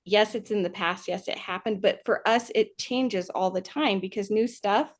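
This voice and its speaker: A woman's voice: medium pitch, moderate pace. A woman co-hosting a podcast, explaining her thoughts in an earnest, reflective, casual way. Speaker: podcast co-host. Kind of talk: casual chat.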